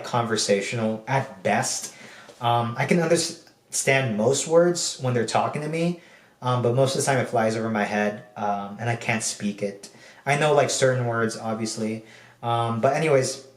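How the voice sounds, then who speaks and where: A man's voice: mid-range voice, distant mic. A young man from the Philippines talking through his personal goals in a casual video diary, rambling with lots of fillers. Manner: relaxed, rambling, frequent um and like fillers. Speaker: video diarist. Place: room at home. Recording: echoey room.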